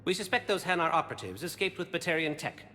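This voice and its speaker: A man's voice: on the high side, sing-song, fast talker, bright, forceful tone. A man voicing an intense, confident alien special agent in a video game, clipped and formal in delivery. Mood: urgent, formal. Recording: long echoing reverb, background noise.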